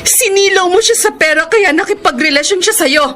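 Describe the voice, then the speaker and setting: An actress playing a betrayed middle-aged wife in a radio melodrama, bitter and accusing, given to tearful outbursts. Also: female voice, very high voice, brisk, a bit bright, faint music behind. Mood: furious, crying. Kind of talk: melodramatic Tagalog radio drama acting.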